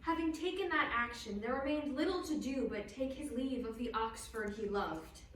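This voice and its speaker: A woman's voice: on the high side, normal speed. A woman narrating from a script, linking the passages of a dramatic reading. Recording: very echoey, distant, faint background noise.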